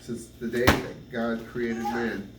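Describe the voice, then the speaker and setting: A man reading the Bible aloud in measured phrases, occasionally stumbling over words. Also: mid-range voice, flat delivery. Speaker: scripture reader. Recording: roomy, distant mic, noisy background.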